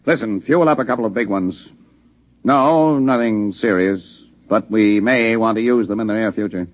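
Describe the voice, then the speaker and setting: An actor playing a brisk, hawkish American general with a commanding, decisive manner in a 1950s radio drama. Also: male voice, fairly low pitch, lightly acted. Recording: dull vintage radio recording.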